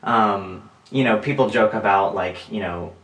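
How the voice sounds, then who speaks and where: A man of twenty-five, co-hosting a podcast, telling stories in an animated way with lots of fillers and frequent laughs. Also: on the low side, unhurried. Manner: animated storyteller, laughs often, many fillers. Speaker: podcast co-host. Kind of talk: casual chat. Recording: roomy sound, mic at a distance.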